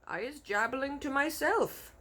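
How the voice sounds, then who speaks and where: A timid storybook giant played by a woman speaks in a raised, anxious voice. He is gentle and pleading, hesitant and prone to stammering. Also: high voice, measured pace, pitched above the performer's own voice. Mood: nervous. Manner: hesitant, anxious, mangled grammar.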